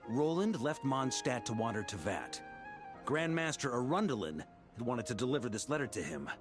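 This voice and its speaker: An actor playing a bold, easygoing knight commander in a video game, with a casual, conversational delivery. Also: male voice, mid-range voice, natural voice. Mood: explanatory.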